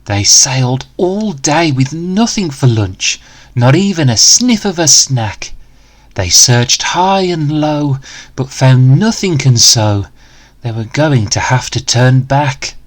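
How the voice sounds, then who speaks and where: A man telling a children's story in rhyme. He takes it slowly and deliberately, with very animated swings in pitch and a dramatic, expressive delivery. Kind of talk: rhyming picture-book read-aloud.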